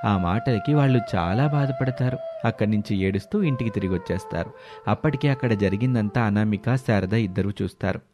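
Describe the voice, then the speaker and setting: A man's voice: fairly low pitch, a little fast. A man reading scripted narration of a family moral story as the storyteller.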